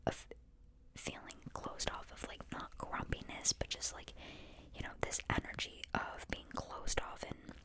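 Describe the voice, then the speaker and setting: A woman talks in a soft, breathy whisper with almost no voice behind it. She rambles reflectively in an ASMR style, earnest and informal, often questioning herself as she explains. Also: unhurried. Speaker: host sharing reflections on a psychology book.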